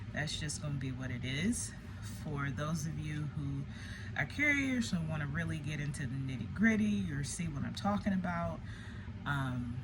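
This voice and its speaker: Casting: casual online storyteller, mid-range voice of unclear gender, candid and self-deprecating, colloquial phrasing, noisy ambient recording. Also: mid-range voice. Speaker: host, storyteller. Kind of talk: casual conversational monologue to an online audience. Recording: clearly noisy background with ambient sound.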